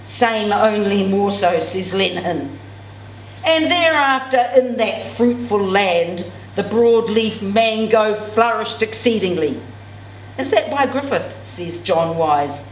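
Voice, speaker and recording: A woman's voice: mid-range voice, lively intonation, takes it slowly. Older woman reading aloud in a roomy hall. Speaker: amateur reader at a literary event. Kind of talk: expressive read-aloud of a novel. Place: indoors, in a function room. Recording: roomy, distant mic, clear background noise.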